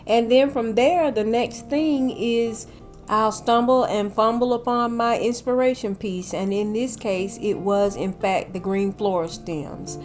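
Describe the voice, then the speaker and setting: A woman's voice: a little high, a little slow, darkish tone, soft background music. An older woman, slightly nasal from a cold, chatting casually in a home decor voice-over. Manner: chatty, addresses viewers as y'all.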